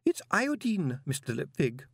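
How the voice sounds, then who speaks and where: A man's voice: high-pitched, huge pitch swings, moderate pace, fairly bright tone, slightly rough, no echo, clean studio sound, pitched above the performer's own voice, exaggerated voice. A man voicing a prim, melodramatic older woman in an audiobook, wailing and sobbing in tearful distress. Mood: tearful, deferential.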